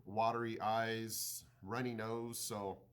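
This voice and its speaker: A man's voice: fairly low pitch, flat delivery, slow pace, fairly bright tone, clean tone. A man with a nasal, stuffed-up voice musing to his viewers and asking for their advice. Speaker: vlogger. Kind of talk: casual chat to camera.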